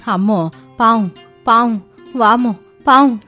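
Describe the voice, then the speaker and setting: A woman voicing a middle-aged mother-in-law in an animated moral story, grumbling, sentimental and dramatic. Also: fairly high pitch, takes it slowly, soft background music. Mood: terrified.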